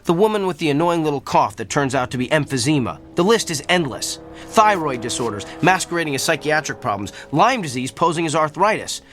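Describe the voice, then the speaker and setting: Radio drama role: tactless, pedantic male doctor, fairly high voice, precise delivery, music underneath. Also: sing-song, brisk, few pauses, fairly bright tone. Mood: defensive.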